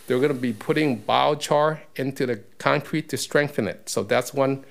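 A man's voice: mid-range voice, normal speed, creaky. A man giving a public comment at a council meeting podium, explaining in a conversational way with many fillers. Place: council chamber. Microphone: podium mic.

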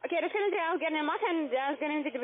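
A woman's voice: sits high, fast. A woman speaking non-native German with a foreign accent, a polite but defensive call center agent on the phone. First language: not German. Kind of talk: phone sales conversation. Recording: tinny, narrow-band phone line.

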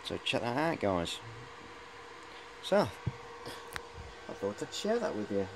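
A man in his forties with a fairly low voice gives a casual, rambling show-and-tell. He is matey and hesitates often as he goes. It is recorded on a handheld camera indoors, with some noise behind him. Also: big pitch swings, takes it slowly, gravelly. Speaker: hobbyist showing high-voltage equipment. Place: cluttered indoor workshop. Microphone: camera at arm's length.

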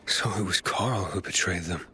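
An actor playing an earnest, reassuring younger survivor hero in a video game. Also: male voice, low-pitched, moderate pace, bright, forceful tone. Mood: sober. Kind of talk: video game dialogue acting. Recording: heavy background noise.

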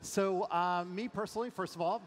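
A man's voice: high voice, steady tone, moderate pace, slight background noise. A middle-aged man from France, a venture investor, talking energetically with many fillers in a fireside chat on a large conference stage, through a headset mic. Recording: long hall reverb, faint ambience.

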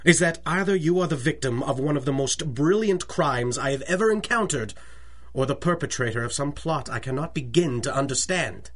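An actor playing an older bank president in a radio drama, formal, fussy, deferential and anxious. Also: male voice, on the high side, normal speed, a bit bright. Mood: earnest, worried. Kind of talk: 1930s-style radio drama acting.

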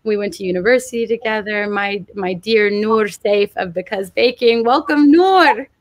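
A woman's voice: medium pitch, normal speed, no echo. Brief: young woman, webcam host of a live stream, bubbly and effusive, dry close sound. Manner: upbeat, effusive with praise. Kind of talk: livestream event hosting. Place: home room, on video call.

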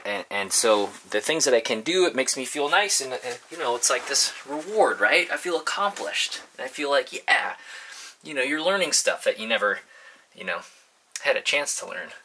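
A man in his thirties vlogging casually, informal and halting as he thinks aloud to his viewers. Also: on the low side, bright, forceful tone. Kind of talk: casual, rambling vlog chat to viewers. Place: inside a parked converted school bus.

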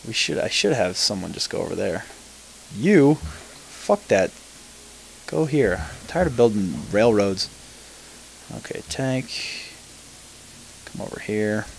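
A man's voice: fairly low pitch, sing-song, slow, vocal fry, pauses often, slight background noise, low-quality recording. A man casually commenting on a strategy game as he plays, talking to himself and swearing offhandedly. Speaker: let's play commentator.

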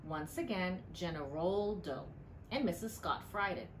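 A middle-aged woman, a librarian, reads a picture book aloud with deliberate storytelling emphasis. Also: on the low side, expressive. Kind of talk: expressive storybook read-aloud. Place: indoor library room. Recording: clearly noisy room ambience.

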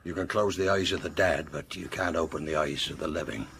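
Casting: low, creaky-voiced rustic innkeeper, wary and half-superstitious, radio play with clear background noise. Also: male voice, steady tone, a bit bright, heavily acted. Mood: calm, wry. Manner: folksy, measured, rustic turns of phrase. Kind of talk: radio drama acting. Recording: noisy old-time radio broadcast.

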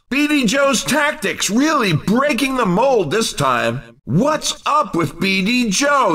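An actor playing an excitable, wisecracking male commentator in a racing video game, with a playful sports-announcer delivery. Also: high voice, distant mic, full character voice. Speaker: race commentator.

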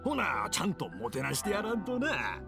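A man's voice: fairly high pitch, wildly swooping pitch, creaky, music in the background, exaggerated voice. A man voicing a genial, hard-of-hearing old fireworks craftsman with a Kansai accent, hearty and rambling, in an anime game. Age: older. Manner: hearty, rambling, slow to catch on.

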